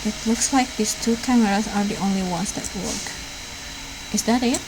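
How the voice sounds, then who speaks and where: A woman's voice: mid-range voice, moderate pace. Female let's player, middle register, reads visual novel script with casual asides, noisy home recording. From Indonesia. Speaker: let's play streamer reading dialogue aloud. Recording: clear background noise, raw home recording.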